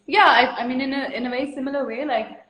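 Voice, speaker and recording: A woman's voice: sits high, steady tone, fast talker, a bit bright. A woman from India in her thirties, a guest artist chatting frankly and at length on a video call, laughing often. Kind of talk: casual interview chat. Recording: echoey room.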